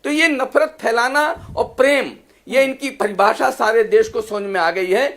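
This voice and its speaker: A man's voice: very high voice, moderate pace, vocal fry, a bit bright. A man speaking as a panelist in a political TV debate, emphatic, repetitive and accusatory. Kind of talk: polemical political debate speech.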